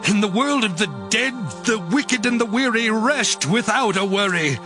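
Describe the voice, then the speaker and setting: A man's voice: high-pitched, animated, moderate pace, over music. An actor playing a devout, suffering man crying out to God with impassioned, mournful delivery in a dramatized audio Bible. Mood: anguished lament.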